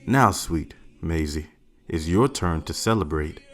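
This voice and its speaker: A man reading a father's part in a family picture-book read-aloud, telling his little daughter their family's history in a slow, expressive way. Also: deep voice. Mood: storytelling. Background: faint added music.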